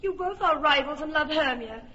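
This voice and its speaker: A woman's voice: high voice, lively intonation, moderate pace, bright, forceful tone, long reverb tail, lo-fi sound. An actress playing a heartbroken, indignant young woman in a classic radio drama, with impassioned, reproachful outbursts.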